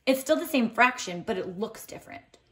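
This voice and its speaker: A woman's voice: a little high, expressive, fairly quick, pushed and bright. A woman voicing a knowing madame in a storybook, explaining things emphatically.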